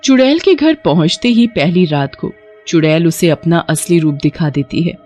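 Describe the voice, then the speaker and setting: A woman narrating a scripted horror story in a steady storytelling voice. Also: moderate pace, soft background music. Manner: steady storytelling, dropping lower for eerie moments.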